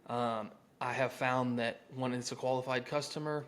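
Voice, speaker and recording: A man's voice: mid-range voice, fairly even intonation, normal speed. A man with an American accent coaching contractors in an informal, conversational, salesy way, mixing instruction with sample phone-script lines.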